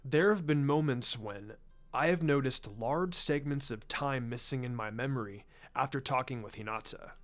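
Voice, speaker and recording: A grave, proud clan patriarch from a storybook , as a male reader plays him. He speaks formally and with measured reserve, pitched a little under the reader's own voice. Music plays underneath. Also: close to an everyday voice. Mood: troubled, firm. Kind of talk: fan fiction story reading.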